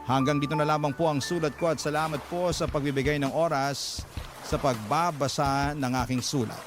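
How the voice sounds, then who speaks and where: Higher-pitched Filipino male radio storyteller. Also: steady tone, normal speed. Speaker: radio drama host reading listeners' letters. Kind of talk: dramatic scripted narration. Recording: loud music under the voice. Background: loud music bed.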